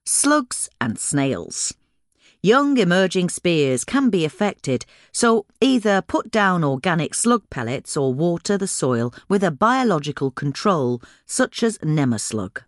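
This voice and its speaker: A woman narrates a practical how-to guide with careful, measured diction. Her voice sits on the low side but moves with lively intonation, recorded close and dry. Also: dry recording. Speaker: reader of a gardening guide. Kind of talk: scripted instructional narration.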